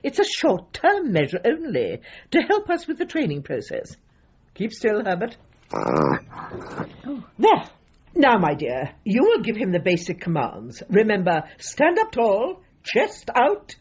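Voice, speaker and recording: An actress playing a brisk, bossy, encouraging dog trainer with an emphatic, rallying manner in a gently comic radio drama. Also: female voice, medium pitch, wildly swooping pitch, dull audio, a little hiss or hum. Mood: instructive. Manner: emphatic, rallying, fond of "my dear".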